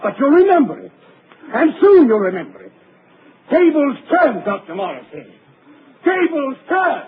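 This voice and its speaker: A man's voice: extremely high pitch, big pitch swings, normal speed. An actor playing a deranged, obsessed surgeon-patient who threatens his doctor in a 1940s radio drama. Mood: menacing. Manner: menacing, mocking, broods then rants. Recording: muffled lo-fi 1940s radio broadcast with hiss.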